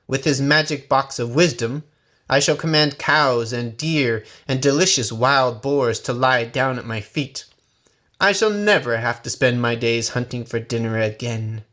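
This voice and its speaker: A man's voice: mid-range voice, a little slow, a bit bright, natural voice. A man voicing a sly, hungry tiger in a storybook, with a polite, wheedling manner that hides his scheming. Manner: courteous, wheedling. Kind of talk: storybook character voice.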